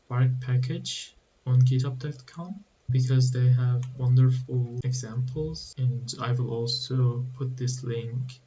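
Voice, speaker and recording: A man explaining code slowly with halting restarts, as a tutorial host. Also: medium pitch, warm tone, dull audio, a little hiss or hum. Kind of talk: screen-recorded coding walkthrough.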